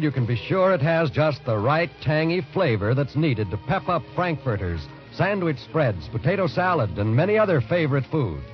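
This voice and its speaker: An American man reading a scripted commercial in 1940s radio advertising style. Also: on the high side. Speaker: commercial announcer. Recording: muffled 1940s radio recording.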